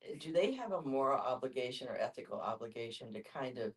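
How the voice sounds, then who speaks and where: Group discussion participant, middling pitch, deliberate questioning, distant microphone. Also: animated. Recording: distant mic.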